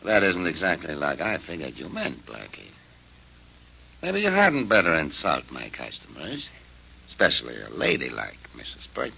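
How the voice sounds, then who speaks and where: An actor playing a menacing male gambling boss in a 1940s radio drama, talking in a gruff, threatening way with clipped slang. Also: very deep voice, huge pitch swings, normal speed, pushed and bright, exaggerated voice. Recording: muffled old radio broadcast.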